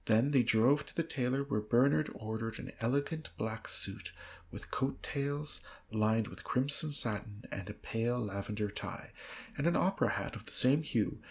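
A woman's voice: a bass voice, a little mellow, slight background noise. A woman co-hosting a podcast, reading an old novel aloud in an amused, dramatic storytelling way.

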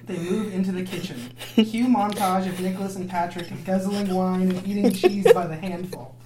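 A woman's voice: medium pitch, steady tone, normal speed, a crowd in the background. A woman reading script stage directions aloud in an amateur dramatic reading, with mock-serious narration. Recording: roomy echo, others laughing behind.

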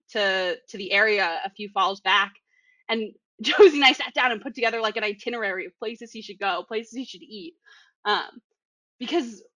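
A woman's voice: mid-range voice, pushed and bright, no room sound. A young woman from the Boston area with a Boston accent, chatting casually and laughing often. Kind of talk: casual podcast chat.

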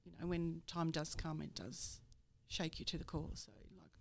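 A woman's voice: low voice, steady tone, normal speed, vocal fry. A woman from the Netherlands, a guest talking openly about personal matters in a candid interview. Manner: candid, with light laughs and fillers.

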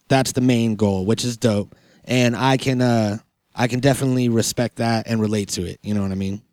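A man's voice: medium pitch, warm tone, smooth, no room sound, studio quality. A man hosting a podcast in casual, informal, slangy chat. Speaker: podcast host.

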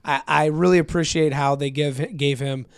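Hoarse, gravelly male co-host, eager casual talker, dry close sound. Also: on the high side, fairly even intonation, normal speed, no echo. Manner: enthusiastic, many fillers. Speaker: podcast co-host. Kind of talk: casual chat.

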